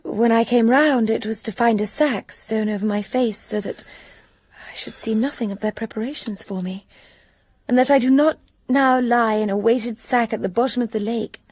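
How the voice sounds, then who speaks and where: A woman's voice: on the high side, moderate pace, a little mellow, no room sound, natural voice. A woman playing a shaken young woman recounting. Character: young woman recounting her kidnapping, Celie. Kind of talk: radio drama acting.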